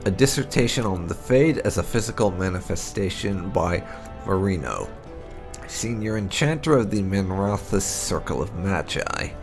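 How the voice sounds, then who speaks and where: A man narrating fantasy game lore aloud, with deliberate, dramatic emphasis as a video host. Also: deep voice, big pitch swings, over music.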